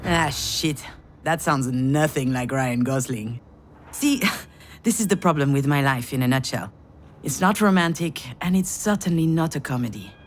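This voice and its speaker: A woman's voice: a bass voice, animated, normal speed, a little hiss or hum. An actress playing a frazzled, self-deprecating woman who narrates her inner monologue in a visual novel game, sardonic and confessional. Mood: groggy, sardonic. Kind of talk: visual novel voice acting, first-person narration.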